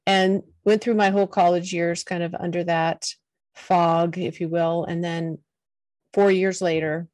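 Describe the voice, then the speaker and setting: Middle-aged woman, soft-spoken and earnest, lowish voice, dry booth sound. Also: level tone, unhurried, no room sound. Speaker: podcast guest, confidence coach. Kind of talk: personal storytelling in an interview.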